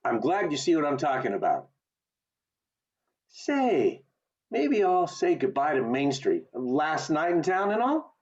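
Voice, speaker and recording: A man playing a restless, eager young small-town man with big dreams in an amateur radio play, earnest and expressive. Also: on the high side, a little slow. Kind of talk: community theatre radio play acting. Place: remote video call. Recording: dull lo-fi video call audio.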